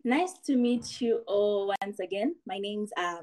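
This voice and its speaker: A woman's voice: medium pitch, unhurried, a little mellow. A young woman from Zambia, a guest on a podcast, talking in long thoughtful explanations. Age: young adult. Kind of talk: casual podcast chat.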